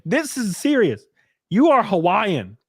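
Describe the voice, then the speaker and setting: Man, stream host, crude teasing banter, animated pitch, dry close recording. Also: takes it slowly, husky, no room sound. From Southern US. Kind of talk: casual livestream chat.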